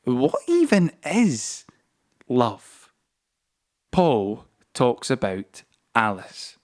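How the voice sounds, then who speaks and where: A man narrating a scripted audio play as a storyteller, with deliberate phrasing and dramatic pauses, over a musical score. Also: medium pitch, wildly swooping pitch, a little mellow, full character voice.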